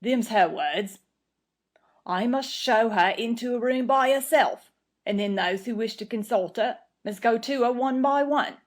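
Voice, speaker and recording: A woman's voice: on the high side, unhurried, lightly acted. A woman voicing a respectful male footman in a storybook reading, plain and deferential.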